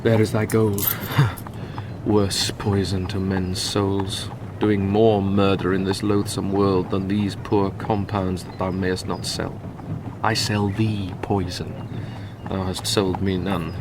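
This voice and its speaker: Casting: grief-stricken young noble, British, slow deliberate Shakespearean radio acting, clearly noisy background. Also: male voice, sits low, flat delivery. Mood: bitter. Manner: theatrical, deliberate verse delivery.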